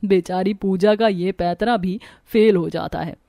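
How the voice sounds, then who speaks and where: A woman's voice: medium pitch, fairly even intonation, unhurried, vocal fry, dark tone, no echo. A woman storyteller reading scripted narration for a family cartoon.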